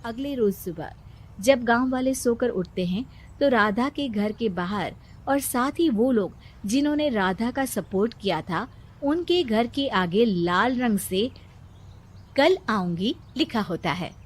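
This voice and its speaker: High-pitched woman narrating a story. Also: expressive, a little slow.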